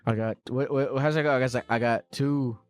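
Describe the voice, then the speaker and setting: A man hosting a call-in show, teasing his caller with profane, quick-fire banter. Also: mid-range voice, fairly even intonation. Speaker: host.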